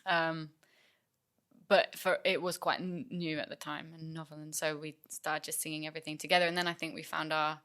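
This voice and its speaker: A woman's voice: deep voice, flat delivery, a little fast, a bit bright, smooth. A young woman musician from England chatting casually in an interview, with lots of fillers and restarts. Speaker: musician guest.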